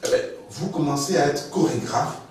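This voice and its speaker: A man's voice: on the high side, moderate pace, darkish tone, a little hiss or hum. A man hosting an interview, chatty and eager, often chiming in with short affirmations. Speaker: interviewer, host. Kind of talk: interview questions. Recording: roomy echo, distant mic.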